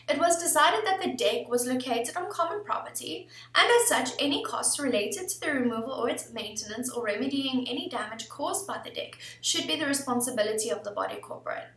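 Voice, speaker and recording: A woman in her thirties presenting a scripted legal explainer in a steady, formal reading manner, recorded at a desk webcam in an office. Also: high-pitched, brisk, distant mic. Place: office room indoors. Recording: echoey room, voice somewhat off-mic.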